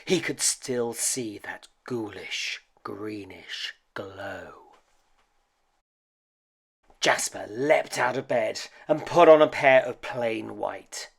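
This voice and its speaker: A man narrates a children's storybook at an unhurried pace. His voice is husky and a little creaky, and it rises and falls theatrically as he tells the tale. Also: on the low side. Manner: dramatic, expressive, with hushed suspenseful dips. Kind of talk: animated picture book read-aloud.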